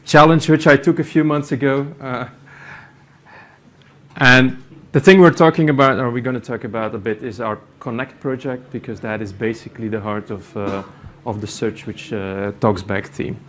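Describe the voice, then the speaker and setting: Halting Belgian man presenting in English. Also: medium pitch, steady tone, a bit bright, slight background noise. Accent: Belgian Dutch. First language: Dutch. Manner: halting, many fillers and restarts. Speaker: conference speaker, company IT executive. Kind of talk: conference presentation. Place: conference room.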